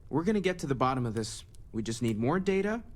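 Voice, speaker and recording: An actor playing a kind, earnest scholar turned space explorer in a video game, speaking in a gentle, conversational way. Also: male voice, medium pitch, sing-song, fast talker, close to an everyday voice. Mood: earnest, curious. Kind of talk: video game character dialogue.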